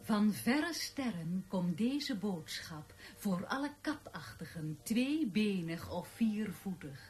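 Slow, portentous TV astrologer. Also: female voice, mid-range voice, big pitch swings, a little mellow. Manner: solemn, portentous. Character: mystical, theatrical television astrologer addressing stargazers. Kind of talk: Dutch radio play acting, horoscope show presenting. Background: music.

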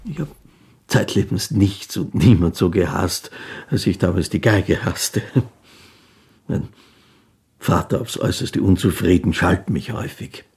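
An actor playing an old, impoverished violinist in a radio play, a gentle, humble man telling his life in halting, wistful, reflective phrases. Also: low voice, lively intonation, full character voice. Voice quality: husky, gravelly. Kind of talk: dramatic first-person narration in a radio play.

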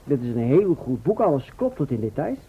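A man's voice: medium pitch, big pitch swings, brisk, husky, heavily acted. A man playing a courteous, matter-of-fact time traveller from the future in a radio play. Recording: dull old radio recording.

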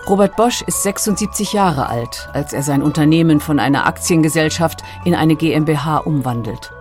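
A woman reading scripted documentary narration in a measured, factual manner. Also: sits low, a little mellow.

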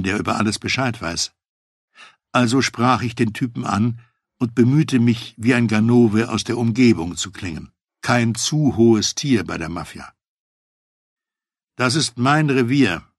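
A man reading a first-person memoir as an audiobook, in a steady storytelling manner that lifts for quoted dialogue. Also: fairly low pitch, gravelly, slightly rough, dry recording, studio quality. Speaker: audiobook narrator.

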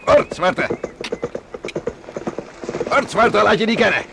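A man's voice: sits high, lively intonation, takes it slowly, vocal fry, full character voice. An actor playing a hearty, teasing older father and horseman in a Dutch radio drama. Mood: boisterous. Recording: noisy archive radio play recording.

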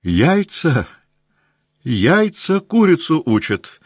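An irritable old count, his voice jumping high and loud when he bursts out, as a male reader puts him on. Dry, close sound. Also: very animated, extremely slow, dry recording, heavily acted. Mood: tearful. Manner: shouting.

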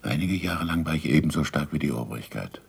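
Aged disillusioned scientist, radio play, rock-bottom pitch, rasping husky tone, deliberate and weighty. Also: male voice, expressive, full character voice. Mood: bitter, solemn. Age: older. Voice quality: gravelly, husky. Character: ageing, disillusioned scientist, bitter, self-accusing, fond of food. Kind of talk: German radio play acting.

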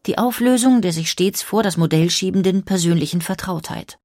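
A woman narrating a scripted biographical documentary in a measured, precise way. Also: on the low side, warm tone, no room sound, studio quality, faint music behind.

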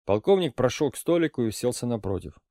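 A man reading an audiobook as narrator, with even, steady storytelling. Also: mid-range voice, fast, dry recording, clean studio sound. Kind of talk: scripted narration.

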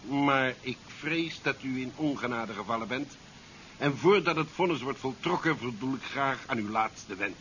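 A man's voice: mid-range voice, normal speed, fairly bright tone, natural voice. A male actor playing a formal, cuttingly courteous navy commander in a science fiction radio play. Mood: coolly disdainful. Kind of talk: 1970s science fiction radio drama acting. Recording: dull 1970s radio recording, slight noise.

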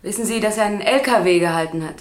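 An actress playing a stern, precise public prosecutor in a radio play, formal, pointed and lecturing. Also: female voice, mid-range voice, fast, lightly acted. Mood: pointed, probing. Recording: roomy courtroom echo.

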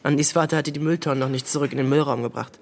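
A man's voice: mid-range voice, fairly even intonation, racing, darkish tone, lightly acted. Radio play role, for a male actor: evasive teenage lad, flippant and curt, mid-range voice, natural delivery. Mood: detached recounting. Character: sullen, provocative, evasive teenage boy. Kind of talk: naturalistic German radio drama acting.

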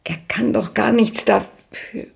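An actress playing an anxious, loyal woman reluctantly confessing to police in a radio crime drama, defensive and husky. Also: medium pitch, steady tone, takes it slowly, whispered, heavily acted. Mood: worried denial. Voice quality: husky, creaky. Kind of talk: German radio drama acting.

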